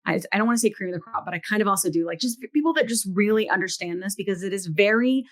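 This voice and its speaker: A woman's voice: medium pitch, racing. Middle-aged woman, podcast co-host, casual and giggly. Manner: chatty, laughs often, animated asides. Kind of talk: casual chat and ad read.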